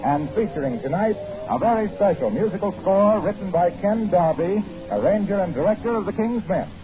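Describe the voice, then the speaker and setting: A man's voice: high-pitched, expressive, brisk. An American man announcing in the scripted style of 1940s radio, reading introductions and sponsor copy. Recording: tinny 1940s radio recording with music.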